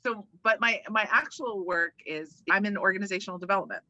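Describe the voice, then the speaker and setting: A woman from Hawaii speaking casually and emphatically as an interview guest, chatty and animated. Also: mid-range voice, a little fast, dry recording, highs cut off. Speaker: interview guest, organizational development worker and voiceover artist.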